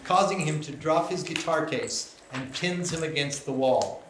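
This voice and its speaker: A man's voice: fairly high pitch, moderate pace. A man reading stage directions as narrator in scripted narration at a live table read. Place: live event room. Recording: echoey room, clear background noise.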